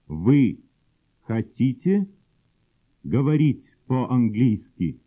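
A man reading drill sentences slowly and deliberately, word by word, for learners to repeat. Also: medium pitch, big pitch swings. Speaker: language instructor. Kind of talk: slow drill sentences for repetition. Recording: husky, phone-like old cassette audio.

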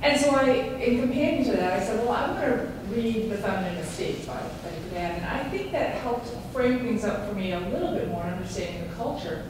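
A woman's voice: fairly high pitch, animated, a little fast, hardly any pauses. Hesitant woman asking from the audience. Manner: hesitant, many fillers, rambling. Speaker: audience member asking a question. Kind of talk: audience question at a talk. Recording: echoey room, distant handheld mic, noise.

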